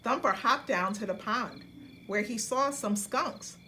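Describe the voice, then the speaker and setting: A woman narrating a children's bedtime story in an expressive, deliberate storytelling manner. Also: a little high, slight background noise.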